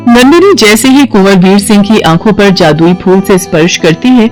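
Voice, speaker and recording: A woman's voice: mid-range voice, lively intonation, normal speed, soft background music, poor audio. A woman narrating a scripted moral story as the storyteller.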